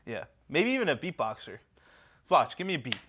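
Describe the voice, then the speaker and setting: A man playing a boastful father who brags that he can beatbox, talking loud and full of bravado. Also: a little high, big pitch swings, fairly quick. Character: boastful dad, a self-proclaimed beatboxer. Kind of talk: comedy skit acting. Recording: background noise.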